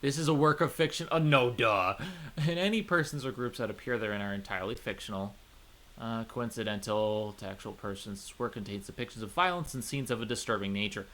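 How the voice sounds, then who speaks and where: A man streaming a visual novel, reading its story aloud and reacting in a chatty, expressive way. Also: fairly low pitch, a little fast. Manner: chatty, expressive, swears casually. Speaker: let's play streamer.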